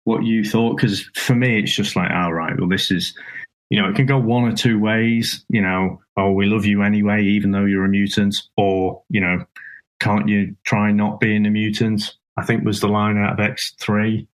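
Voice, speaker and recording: Low-voiced man rambling through a film chat. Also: little pitch movement, normal speed, poor audio. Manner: rambling with many restarts and chuckles. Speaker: podcast co-host. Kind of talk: casual film review chat.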